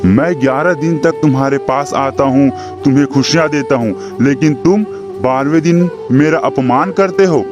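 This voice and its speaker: A man plays an offended deity in a children's cartoon tale, stern and reproachful. He declaims his scolding at a middling male pitch, with faint music behind. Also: normal speed, muffled recording, natural voice. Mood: admonishing.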